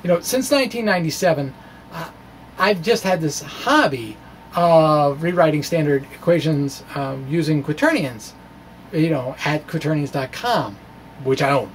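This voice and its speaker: A middle-aged man talks through science as an informal video blogger. His delivery is wry and halting, with bursts of theatrical emphasis. He speaks into a microphone in a small room, with faint noise behind him. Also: fairly high pitch, lively intonation, rough recording. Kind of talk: informal explanatory monologue, dramatic reading of own blog. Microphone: handheld-style microphone on stand in front of him. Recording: clear background room noise.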